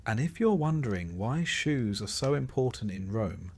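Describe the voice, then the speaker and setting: A man reading a scripted documentary-style narration in a measured, dramatic storytelling manner with wry, dry asides. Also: mid-range voice, very animated. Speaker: narrator of history sleep stories.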